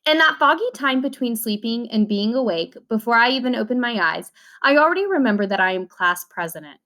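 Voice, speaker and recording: A woman reading a children's novel aloud in an expressive way, acting out the dialogue as she goes. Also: fairly high pitch, a little fast.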